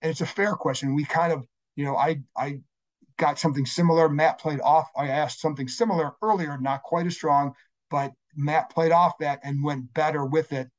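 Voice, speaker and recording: Hoarse man hosting a sports podcast. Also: on the high side, fairly even intonation, moderate pace, lo-fi sound. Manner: conversational, frequent self-corrections and fillers. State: hoarse, scratchy voice. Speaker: podcast host. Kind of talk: solo sports podcast monologue.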